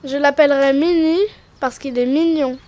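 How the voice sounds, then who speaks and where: High-pitched woman voicing an eager little girl. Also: steady tone, moderate pace, a little mellow, natural voice. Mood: delighted, tender. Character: affectionate young girl, cartoon daughter.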